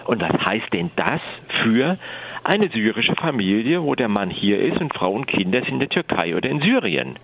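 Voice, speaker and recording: A man's voice: fairly low pitch, very animated, normal speed, vocal fry, dull audio. A man giving a political appeal in a radio interview as a refugee rights advocate, speaking insistently and emphatically.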